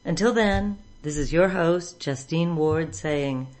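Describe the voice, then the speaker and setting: Low-pitched woman hosting a podcast. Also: slow pace, darkish tone. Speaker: podcast host. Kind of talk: scripted sign-off narration.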